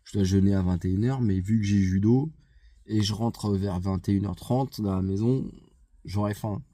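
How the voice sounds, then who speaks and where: Congested man, low and dark, unhurried and halting, vlog chat. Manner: hesitant, many fillers and restarts. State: congested with a head cold. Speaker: vlogger. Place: outside a gym.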